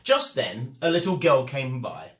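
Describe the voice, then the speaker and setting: Expressive, slow-paced male storyteller. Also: medium pitch. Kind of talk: animated children's storybook reading. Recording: echoey room.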